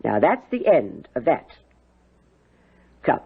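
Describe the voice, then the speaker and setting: An actress playing a stern, secretive housekeeper aunt, brusque and commanding, in a 1970s radio drama. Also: female voice, extremely low pitch, sing-song, slow pace, creaky, husky, exaggerated voice. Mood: curt, guarded. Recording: muffled old radio broadcast.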